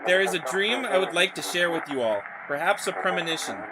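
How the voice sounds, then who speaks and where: A man voicing a solemn former diplomat and canal developer in formal, measured oratory, reading a horror story aloud. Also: high voice, expressive, heavy background noise. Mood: solemn, grandiose.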